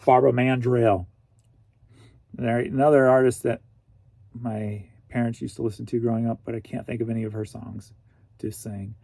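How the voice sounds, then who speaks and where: A man's voice: medium pitch, level tone, normal speed, creaky, pauses often, dark tone, no echo. A man in his forties from Missouri, chatting casually and jokingly to himself while packing online orders. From St. Louis, Missouri. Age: 40s. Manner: goofy, jokey, talks to himself. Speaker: online reseller.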